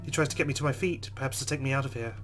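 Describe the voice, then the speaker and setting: A man's voice: mid-range voice, very fast, music in the background. A man hosting a let's play, reading a visual novel's text aloud dramatically and adding his own commentary.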